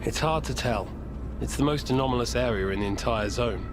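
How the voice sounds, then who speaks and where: An actor playing a weary, resigned scientist with bitter irony, speaking in a measured, explanatory way in a video game cutscene. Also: male voice, mid-range voice, echoey room, background noise, lightly acted. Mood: subdued, grave.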